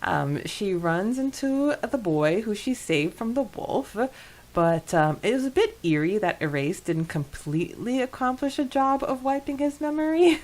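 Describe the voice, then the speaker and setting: A woman co-hosting a podcast in casual discussion, enthusiastic and quick to giggle as she recaps a story. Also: fairly high pitch, expressive, a little mellow. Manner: enthusiastic, giggly, frequent laughing. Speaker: podcast co-host. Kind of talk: casual discussion recapping a fan fiction chapter.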